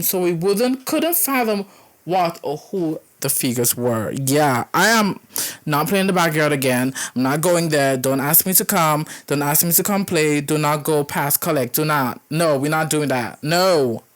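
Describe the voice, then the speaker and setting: A young woman with a notably low voice reads horror stories aloud. She keeps cutting in with dramatic, reactive asides and stumbles over typos as she goes. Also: expressive, unhurried. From Caribbean. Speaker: YouTuber reading horror stories. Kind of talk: reading aloud with casual commentary.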